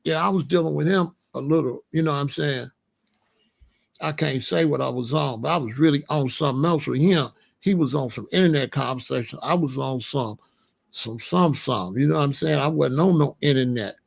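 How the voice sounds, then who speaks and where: Man, gravelly voice, slightly high, blunt, slangy, repetitive, casual commentary monologue. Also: brisk. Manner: repetitive, keeps asking you know what I'm saying. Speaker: commentary channel host.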